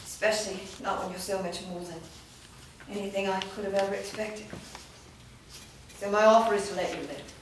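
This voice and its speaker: A woman's voice: mid-range voice, steady tone, a little hiss or hum, natural voice. A woman reading a cold vampire queen. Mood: menacing. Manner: slow, threatening, deliberate delivery. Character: ruthless female vampire master, cold and commanding. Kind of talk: staged reading. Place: large studio room with actors in a circle. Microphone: far camera. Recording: roomy echo from far off.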